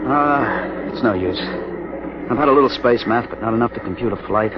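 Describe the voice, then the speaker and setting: A man's voice: medium pitch, moderate pace, lightly acted. Man playing an earnest young mutineer, noisy radio. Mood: worried. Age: young adult. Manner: urgent, earnest. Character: earnest, anxious young male space mutineer. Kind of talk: 1950s radio drama acting. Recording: muffled, noisy old radio broadcast.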